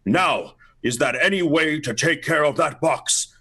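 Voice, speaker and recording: A man playing a halting, complaining man in his seventies who clings to old traditions in a radio play. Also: a little high, lively intonation, bright, forceful tone, slightly rough, voice pushed up, exaggerated voice. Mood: indignant. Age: 70s.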